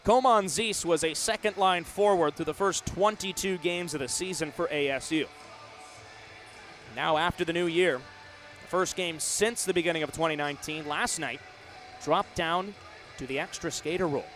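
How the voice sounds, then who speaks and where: Higher-voiced young man calling hockey play-by-play. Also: normal speed, a bit bright, faint music behind. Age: 20s. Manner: clipped, vivid running narration of the action. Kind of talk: live radio sports commentary.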